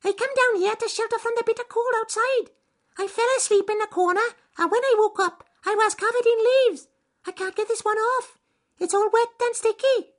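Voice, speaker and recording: A tiny male spider for a storybook. The voice is extremely high, small and wheezy. He speaks politely and plaintively, like someone frail and grateful for kindness. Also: normal speed. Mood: reassuring.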